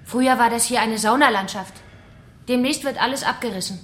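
A woman's voice: fairly high pitch, brisk, a bit bright, close to an everyday voice. An actress playing a menacing female kidnapper in a radio crime drama, taunting her captive in a controlled way. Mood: matter-of-fact menace. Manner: taunting, controlled, familiar address.

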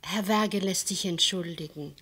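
Husky, unhurried housekeeper. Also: female voice, on the low side, fairly bright tone. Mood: polite, attentive. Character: polite housekeeper waking a guest. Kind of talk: German-language radio play acting.

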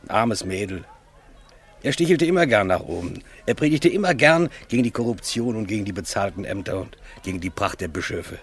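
Husky, cynical cleric. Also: male voice, lowish voice, lively intonation, fairly quick. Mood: sardonic. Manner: sardonic. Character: cynical, worldly male cleric, slyly amused. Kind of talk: classic German radio drama dialogue.

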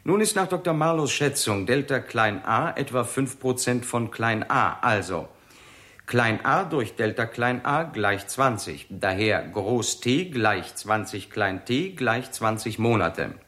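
A man's voice: medium pitch, animated, measured pace, sharp tone. An actor playing a brilliant, sober young male physicist in a 1960s German radio drama, speaking with precise, lecturing deliberation. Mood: sober, analytical. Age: 20s.

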